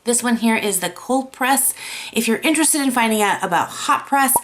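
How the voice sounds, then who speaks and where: A woman with a raspy voice gives a chatty, encouraging watercolor lesson. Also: on the high side, lively intonation, normal speed, noisy background. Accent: American. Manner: encouraging, chatty, self-deprecating. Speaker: watercolor art teacher. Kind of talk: casual tutorial talk.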